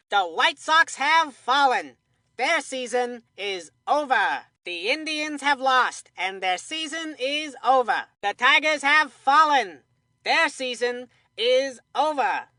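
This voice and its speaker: Kids' baseball game commentator: upbeat cartoon announcer, bright and forceful, punchy delivery, very dry studio sound. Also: female voice, sits high, no room sound, exaggerated voice. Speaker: sports commentator. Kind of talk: scripted play-by-play sports announcing.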